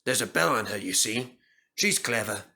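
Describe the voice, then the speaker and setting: A man's voice: on the low side, expressive, normal speed, gravelly, bright, forceful tone, exaggerated voice. A man voicing a weary, grateful runaway steam engine in a storybook, talking earnestly. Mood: fond.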